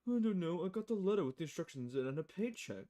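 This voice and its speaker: A woman's voice: on the low side, lively intonation, quick pace, warm tone, voice pushed up, lightly acted. A woman voicing an impatient, grumbling male private detective in a visual novel. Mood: matter-of-fact. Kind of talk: visual novel character voice, film noir dialogue.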